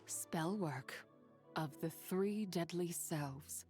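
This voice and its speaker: A woman voicing a courteous, wise immortal in a video game, with formal, measured, old-fashioned delivery. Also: on the low side, music in the background. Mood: grave, composed. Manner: formal, measured, archaic wording.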